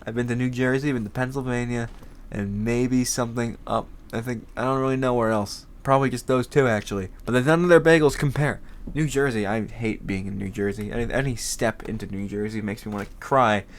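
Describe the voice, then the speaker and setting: A man's voice: medium pitch, fairly even intonation, brisk, slight background noise. A young man with a congested voice, rambling casually as a podcast host, swearing freely and laughing often.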